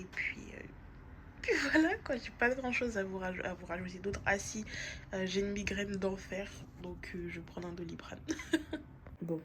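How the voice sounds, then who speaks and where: A woman vlogging at home on her phone, chatting casually with a stuffy nose from a cold and lots of fillers and restarts. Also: medium pitch. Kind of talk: casual diary vlog. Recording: noticeable background noise.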